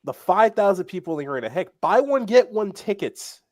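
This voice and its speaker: A man's voice: high voice, very animated, a little fast, darkish tone. A man in his twenties joking in casual chat as a co-host, with self-deprecating fan banter. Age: 20s.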